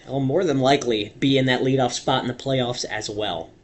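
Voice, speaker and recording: A young man calling baseball play-by-play in a radio commentary style, stretching big moments dramatically. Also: mid-range voice. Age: young adult. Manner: dramatic, drawn-out calls on big plays.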